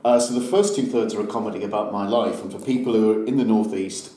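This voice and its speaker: A relaxed man from North East England with a lowish, mellow voice, chatting with hesitant restarts. His voice carries a roomy echo. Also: fast. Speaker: guest author. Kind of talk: casual conversation.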